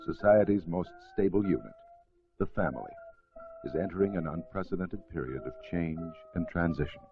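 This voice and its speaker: A man reading scripted educational film narration in a formal, measured way. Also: deep voice, over music. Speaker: documentary narrator. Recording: narrow-band vintage film soundtrack.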